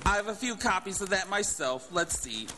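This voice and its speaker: High-voiced, scatterbrained, bumbling uncle. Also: male voice, animated, background noise. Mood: jovial, muddled. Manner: chuckling, muddled, halting. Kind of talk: live stage radio drama acting.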